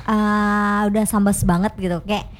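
A woman in a casual radio chat, talking lively and asking plenty of curious questions. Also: mid-range voice, measured pace, a little hiss or hum.

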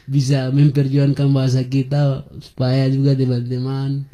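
A young man in his twenties speaks with a very dark, mellow tone. He is a guest in an interview, pausing often on filler sounds and laughing between points. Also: medium pitch, monotone. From Papua highlands, Indonesia. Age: 20s. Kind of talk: conversational interview.

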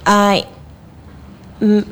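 A woman's voice: mid-range voice, monotone, extremely slow. A woman with an Indonesian accent explaining her community group in a casual chat, mixing English and Indonesian with many fillers. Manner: switches between English and Indonesian, loose with fillers. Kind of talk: casual bilingual podcast chat.

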